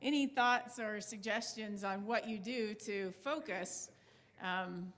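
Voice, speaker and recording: A woman's voice: medium pitch, takes it slowly, fairly bright tone. A woman co-leading a workshop who asks the group for input and affirms each answer. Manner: affirming, inviting responses. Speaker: workshop co-facilitator.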